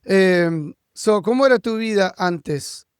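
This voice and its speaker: A man with a high, clear voice interviews a guest in a casual, conversational way. He speaks, and the sound is dry and close. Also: slow pace, dry recording. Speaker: podcast host interviewing a guest.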